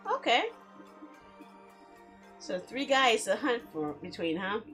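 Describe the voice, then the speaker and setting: A woman streaming a game in a casual, expressive, chatty way, reading story text aloud and reacting to it. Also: fairly high pitch, slow pace. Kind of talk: casual live let's play, reading visual novel text aloud. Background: background music.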